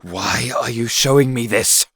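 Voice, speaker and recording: A man playing a guarded, brooding trickster god in a fan audio drama, with measured delivery in a reverberant space. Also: medium pitch, a bit bright, natural voice. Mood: wary. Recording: echoey, long hall reverb.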